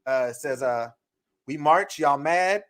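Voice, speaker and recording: An American man hosting a casual live interview, speaking haltingly and repeating words as he thinks. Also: a little high, animated, a bit bright. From Charleston, United States. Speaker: talk show host.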